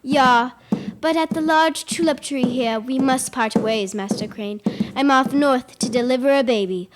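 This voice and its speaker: A woman's voice: high voice, unhurried, over loud music. A female actor playing a cautioning village midwife and widow in a school radio play. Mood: chatty, foreboding.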